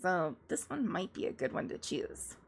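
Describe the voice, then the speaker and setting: A woman's voice: lowish voice, sing-song, normal speed, gravelly, husky. A woman streamer chatting casually as she reacts to songs, rambling with lots of fillers and small laughs. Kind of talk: casual live-stream commentary.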